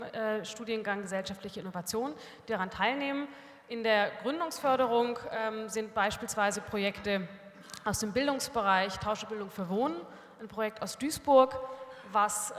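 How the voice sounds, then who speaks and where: Woman explaining at a panel, hall reverb. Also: a little high. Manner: structured explanation with frequent fillers. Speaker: panelist, academy representative. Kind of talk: presenting at a workshop. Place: conference hall.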